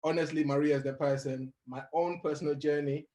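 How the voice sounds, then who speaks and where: A young adult man who grew up in Uganda, telling his life story as a guest in an interview, animated and laughing as he goes. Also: fairly high pitch, fairly quick. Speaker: guest motivational speaker.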